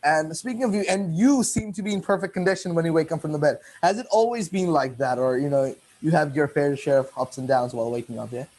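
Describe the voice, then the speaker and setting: A man's voice: on the high side, quick pace, few pauses, a little mellow, poor audio. A seventeen-year-old boy asks his questions in a loose, rambling way. He restarts and corrects himself often as he talks. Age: teens. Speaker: interviewer. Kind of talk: casual online interview.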